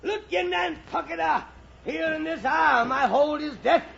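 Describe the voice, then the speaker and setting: An actor playing a fierce, vengeful whaling captain, shouting vehement archaic lines in an old-time radio drama. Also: male voice, very high-pitched, unhurried, creaky, exaggerated voice. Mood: furious vow. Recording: dull, noisy vintage radio recording.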